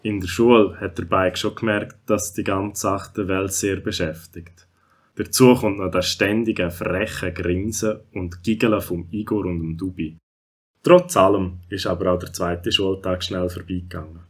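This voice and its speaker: Low-voiced Swiss German male storyteller. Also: fairly even intonation, measured pace, slightly rough. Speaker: narrator. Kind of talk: scripted children's audio story narration.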